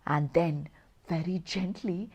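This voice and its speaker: A woman storyteller reading aloud to children, expressive, with deliberate dramatic pauses and emphasis. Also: on the low side, slightly rough. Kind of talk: expressive read-aloud narration.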